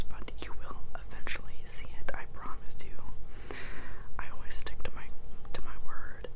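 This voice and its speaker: A man in his twenties whispering a casual, rambling ASMR chat close to the mic. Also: poor audio. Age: 20s. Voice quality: whispered, unvoiced. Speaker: ASMR video host. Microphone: close mic, face near the camera.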